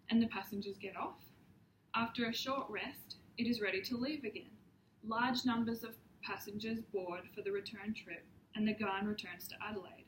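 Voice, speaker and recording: A young woman reads a prepared presentation in a steady, earnest voice, stumbling now and then with an um or a restart. Her voice rings in an echoey room. A faint hiss sits underneath. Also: fairly high pitch. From Papua New Guinea. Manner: measured, earnest, occasional ums and restarts. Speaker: student presenter.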